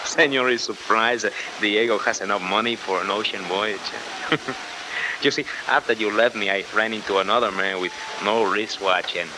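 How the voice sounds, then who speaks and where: An actor playing a gloating, oily Latin rogue with a Spanish accent and mocking politeness, in 1940s radio style. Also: male voice, lowish voice, moderate pace, natural voice. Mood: sly, gloating. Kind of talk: 1940s radio adventure acting. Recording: very noisy old 1940s radio recording.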